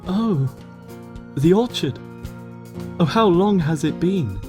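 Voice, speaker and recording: A regal sun princess pony with a soft, affectionate way of speaking, as a man reads her. This is a gentle storybook reading. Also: high-pitched, lively intonation, slow, soft background music, pitched above the performer's own voice.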